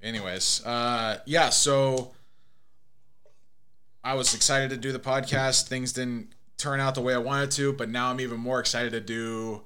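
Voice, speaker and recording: Podcast host, male, bright ribbing banter. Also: mid-range voice, steady tone, a little slow, clean tone. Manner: joking, laughing, plays soundboard clips. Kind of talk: casual chat.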